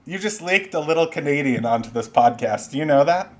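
A man's voice: on the high side, lively intonation, a little fast, darkish tone. A young man co-hosting a gaming podcast, joking, teasing his friend and swearing casually. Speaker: podcast co-host. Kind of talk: casual chat.

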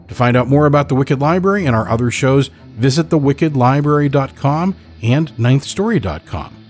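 A man with a medium-pitched voice reads scripted credits as a podcast host. He speaks in an announcer's outro style, with music underneath. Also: a little mellow. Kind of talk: scripted closing credits read.

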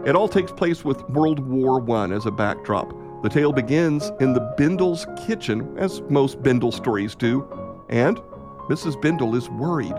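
A man's voice: mid-range voice, animated, moderate pace. A man narrating and announcing a radio play in a scripted storytelling style. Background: loud guitar music.

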